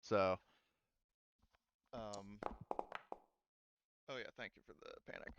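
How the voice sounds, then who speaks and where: A man's voice: on the low side, fairly even intonation, takes it slowly, muffled recording, noisy background. A man running a tabletop roleplaying game as game master, casual and hesitant, often thinking aloud as he checks the rules.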